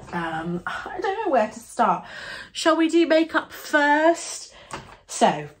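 A young British woman chats excitedly to camera, her voice thick and nasal from a cold. She gushes and laughs often as she talks. She sits close to the camera in a small bedroom. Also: medium pitch. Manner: bubbly, gushing, laughs often. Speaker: beauty and fashion YouTuber. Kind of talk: chatty product-review vlog. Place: bedroom, seated on a sofa, indoors.

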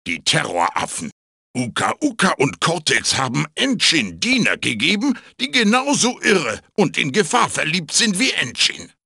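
A wise old-style magic mask spirit for a video game, male, raspy n super animated, wry theatrical delivery. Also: a little high, moderate pace, dry recording, studio quality, full character voice. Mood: theatrical, ominous. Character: wise, quirky talking wooden witch-doctor mask spirit, male. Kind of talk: theatrical video game creature guide narration.

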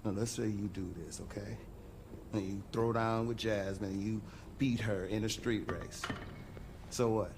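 Gruff mentor mechanic, low gravelly voice, slow and measured, noisy ambience, video game. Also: male voice, fairly even intonation. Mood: cautioning, weary. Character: gruff, weary garage owner, protective mentor.

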